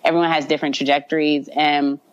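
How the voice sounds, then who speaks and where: Twenties woman, low voice, self-assured casual interview guest, muffled podcast sound. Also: level tone, fast talker. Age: 20s. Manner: confident, laughs often, repeats fillers like "you know".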